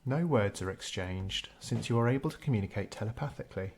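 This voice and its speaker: A man narrating a scripted guided meditation in a measured, unhurried storytelling way. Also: lowish voice, a little mellow.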